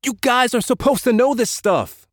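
A man's voice: mid-range voice, very animated, measured pace, no echo, studio quality, pitched above the performer's own voice. A man voicing a cool, aloof, self-interested young gang leader in an audiobook. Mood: bossy. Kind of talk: audiobook character dialogue.

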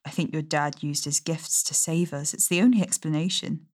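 A man voicing a composed mother on the run, calm and reassuring while hiding worry. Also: fairly high pitch, moderate pace, voice pushed up, natural voice. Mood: soothing. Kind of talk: audiobook narration.

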